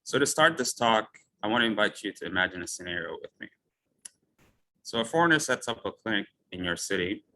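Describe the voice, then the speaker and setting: A man from Ethiopia, a physician, giving a lecture and framing it with a personal story in a measured way. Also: lowish voice, lively intonation, sharp tone, rough recording. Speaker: physician speaker. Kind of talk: lecture talk.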